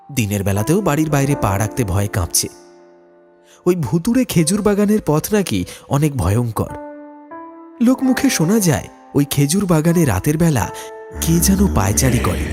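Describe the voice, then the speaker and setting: A man narrating a ghost story from a script in a dramatic style. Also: a little high.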